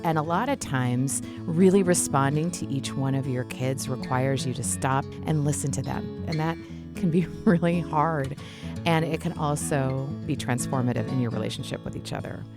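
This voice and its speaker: Woman, low voice, expressive, reflective behind-the-scenes talk, music bed. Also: normal speed. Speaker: voice actor.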